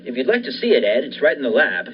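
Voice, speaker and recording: A man's voice: medium pitch, very animated, fast talker, lightly acted. A young man playing an obsessive, defiant research scientist in the style of a 1940s radio thriller, earnest and insistent. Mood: confident. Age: young adult. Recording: dull lo-fi recording with faint noise.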